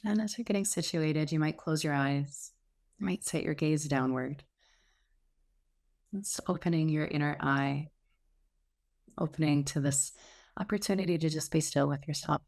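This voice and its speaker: Low, creaky-voiced woman guiding meditation. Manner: slow, gentle, soothing delivery with pauses. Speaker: meditation teacher. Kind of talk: guided meditation.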